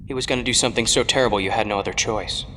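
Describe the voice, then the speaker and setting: An actor playing an earnest, insistent young streetwise protégé to an older hero in an audio drama. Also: male voice, on the low side, racing, bright, forceful tone, a little hiss or hum. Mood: probing. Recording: long cavernous reverb.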